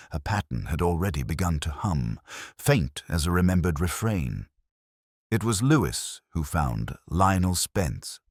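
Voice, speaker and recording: A man reading a scripted first-person detective story in a measured, literary, wry manner. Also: extremely low pitch, no echo, clean studio sound. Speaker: narrator.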